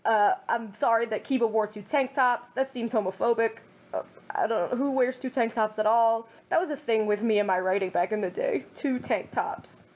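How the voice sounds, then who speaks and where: A woman's voice: a little high, moderate pace, darkish tone. A young woman reading her own fan fiction aloud, then adding wry, self-mocking commentary.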